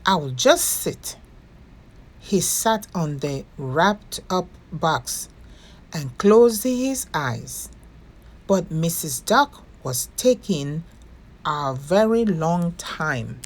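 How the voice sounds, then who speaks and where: A woman hosting storytime, narrating a children's picture book with expressive, deliberate phrasing for young listeners. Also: on the low side. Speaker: storytime host and reader.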